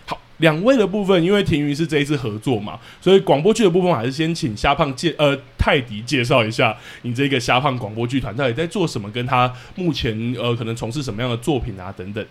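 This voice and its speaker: A man with a Taiwanese Mandarin accent co-hosting a casual podcast in an animated, jokey, chatty way. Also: medium pitch, quick pace, few pauses. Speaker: podcast co-host.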